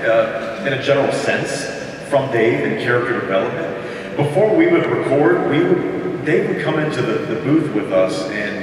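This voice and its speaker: A man with a mid-pitched voice answers thoughtfully on a panel stage. He speaks earnestly into a handheld mic. His voice sounds far off and echoes around a large hall. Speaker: panel guest, voice actor. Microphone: handheld microphone.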